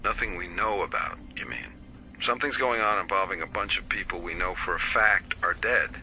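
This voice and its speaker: FBI agent, low voice, dry, sardonic, inquisitive, deadpan, audio drama. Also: male voice, fairly quick, low-quality recording. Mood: deadpan investigating. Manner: deadpan, questioning.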